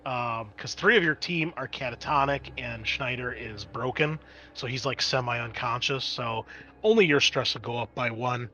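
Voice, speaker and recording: A man's voice: medium pitch, moderate pace, pushed and bright, highs cut off. A man working as a game master, narrating a tabletop role-playing game in a conversational, improvised way.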